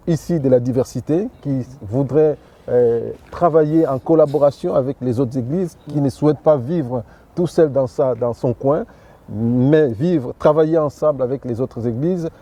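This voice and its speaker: A man's voice: mid-range voice, moderate pace, dark tone. A man answering interview questions as the president of a church association, hesitating and repeating words.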